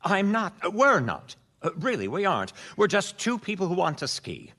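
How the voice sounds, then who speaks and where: Casting: easily rattled husband, husky and creaky, very expressive, defensive, radio detective drama. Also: male voice, high-pitched, normal speed, full character voice. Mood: flustered, compliant. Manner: hesitant, defensive, anxious to comply. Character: nervous, naive, easily flustered married man. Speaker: actor in a radio mystery.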